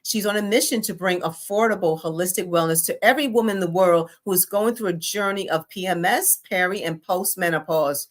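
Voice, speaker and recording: A woman co-host of a talk show reading out a prepared guest introduction. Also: fairly low pitch. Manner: measured, scripted delivery.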